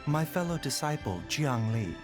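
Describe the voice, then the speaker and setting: A man voicing a gentle, reserved male doctor who speaks calmly and politely. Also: a little high. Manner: calm, measured, polite. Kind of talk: video game voice acting. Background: game music.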